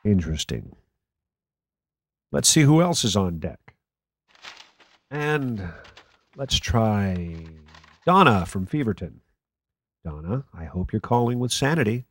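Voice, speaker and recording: A man's voice: fairly low pitch, very animated, slow pace, long pauses, a little hiss or hum, exaggerated voice. A man playing a jaded, sarcastic call-in radio host in a radio play. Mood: dry, sarcastic. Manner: dry, sarcastic. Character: sardonic, jaded male conspiracy talk radio host. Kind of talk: radio play acting as talk radio.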